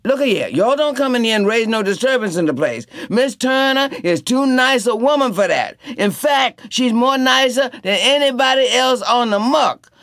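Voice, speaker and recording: A man voicing a commanding young man, insistent, high, animated. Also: normal speed, few pauses, no room sound, studio quality. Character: forceful young man defending a café owner.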